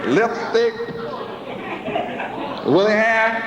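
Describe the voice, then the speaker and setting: A man's voice: high-pitched, expressive, very slow, very noisy. A man preaching a sermon with rhetorical questions and a repetitive cadence. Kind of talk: sermon with acted dialogues. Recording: echoey hall, dull lo-fi sound.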